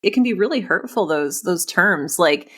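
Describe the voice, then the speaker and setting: A woman's voice: on the low side, expressive, normal speed, a bit bright. A woman hosting a casual podcast interview, effusive and reflective, often thinking aloud as she talks. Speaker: podcast host interviewing a writer.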